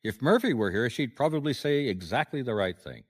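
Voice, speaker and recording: A man playing a wry, persistent private detective in a radio mystery, talking in measured, dry wisecracks. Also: medium pitch, animated, husky. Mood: matter-of-fact, wry.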